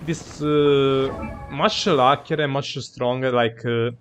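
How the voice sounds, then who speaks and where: A man's voice: medium pitch, fairly even intonation, slow, faint music behind. A man who is a non-native English speaker gives casual live game commentary, rambling and searching for words. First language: not English. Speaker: game streamer.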